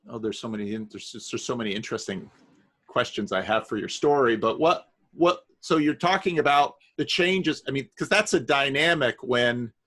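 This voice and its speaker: A man's voice: mid-range voice, fairly bright tone. Middle-aged man hosting, thoughtful. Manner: interested, reflective, restarts thoughts. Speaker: host. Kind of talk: interview conversation.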